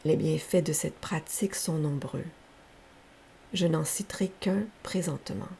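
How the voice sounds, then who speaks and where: A woman guides a relaxation practice in a soft, gravelly low murmur with frequent creak. She speaks very slowly and gently, leaving each instruction room to settle. A faint hiss sits behind her voice. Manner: soft, breathy, unhurried, gentle. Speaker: yoga nidra teacher. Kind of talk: guided meditation, slow soothing instruction. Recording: faint steady background hiss.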